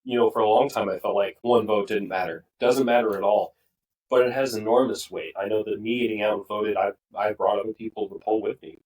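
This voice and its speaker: Young male podcast co-host, low-ish voice, earnest and rambling, a bit off-mic. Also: fairly even intonation, a little fast, darkish tone, recorded from a distance, low-quality recording. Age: young adult. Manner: earnest, opinionated, rambling with restarts. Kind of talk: casual political discussion.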